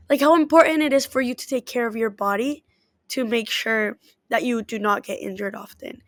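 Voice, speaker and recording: Bright-voiced woman athletic trainer, dry. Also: a little high, no room sound. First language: Spanish. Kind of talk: interview answers.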